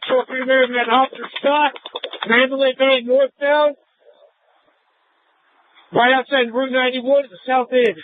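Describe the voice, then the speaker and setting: A man's voice: extremely high pitch, little pitch movement, normal speed, pauses often. A male police officer giving urgent reports over a police radio. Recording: muffled lo-fi police scanner radio.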